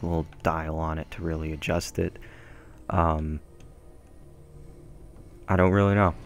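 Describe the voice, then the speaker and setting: A man's voice: deep voice, slight background noise. A young man giving casual, rambling commentary over a video game through a headset microphone, full of ums and asides. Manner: rambling, filler-heavy, self-deprecating. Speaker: gameplay commentator. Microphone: gaming headset mic.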